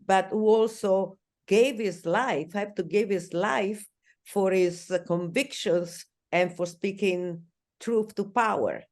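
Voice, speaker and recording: An Italian woman interviewing a singer, speaking English with an Italian accent in an admiring way, often pausing to find her words. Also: mid-range voice, a little mellow. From Italy.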